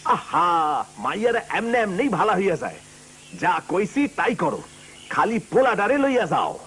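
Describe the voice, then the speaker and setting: A man's voice: high-pitched, sing-song, unhurried, a bit bright, slight background noise, full character voice. A man voicing a grumpy, stingy village father in a cartoon, irritable and blustering, with a rural Bangladeshi accent. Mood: grumpy, dismissive. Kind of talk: cartoon voice acting.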